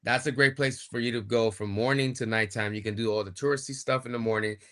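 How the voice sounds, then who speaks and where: A man, a wrestling guest, explaining things in a relaxed, casual way. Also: mid-range voice, quick pace. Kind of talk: casual chat.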